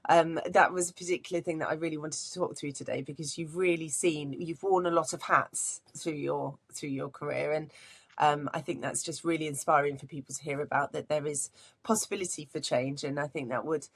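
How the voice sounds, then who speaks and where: A woman with a notably low voice hosts a podcast interview. She talks in a friendly, chatty way, with frequent ums as she frames her questions. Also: steady tone, brisk, husky. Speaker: podcast host. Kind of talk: casual interview hosting.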